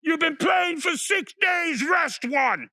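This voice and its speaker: A man voicing a commanding voice from the sky in a folktale, shouting out stretched commands. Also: very high voice, animated, slow, vocal fry, no echo, clean studio sound, pitched above the performer's own voice, exaggerated voice.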